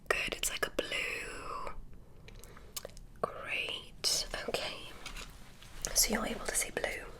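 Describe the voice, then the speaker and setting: Woman whispering as a curious alien examiner. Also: slow pace. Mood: soft, clinical. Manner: whispered, soft and clinical, asking questions. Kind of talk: ASMR medical roleplay.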